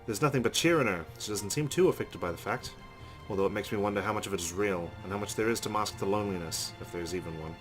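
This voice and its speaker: Low-voiced, brisk man reading narration. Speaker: let's play host. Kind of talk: reading visual novel narration aloud. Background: game music.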